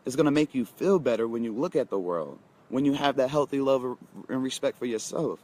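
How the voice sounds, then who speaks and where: A man's voice: mid-range voice, brisk, darkish tone. Emphatic young man giving a pep talk. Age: 20s. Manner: emphatic, repeats lines and talks straight to viewers. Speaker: motivational vlogger. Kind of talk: casual motivational monologue.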